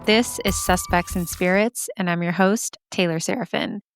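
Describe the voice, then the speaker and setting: A woman in her thirties hosting a podcast, her voice hoarse and scratchy with vocal fry, rambling through self-deprecating asides. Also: fairly low pitch, fairly even intonation, moderate pace, soft background music. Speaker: podcast host. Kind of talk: chatty podcast intro.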